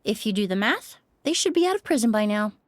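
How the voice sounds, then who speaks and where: A woman voicing a composed, shrewd young perfumer in a video game, speaking in a measured, formal, courteous manner. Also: medium pitch, very animated, a little hiss or hum, natural voice. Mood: probing, confident. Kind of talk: scripted video game dialogue.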